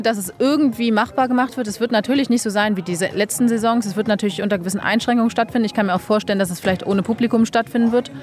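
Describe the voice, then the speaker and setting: A young woman, a bobsleigh pilot, answering interview questions frankly and casually, with many fillers. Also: medium pitch. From Germany.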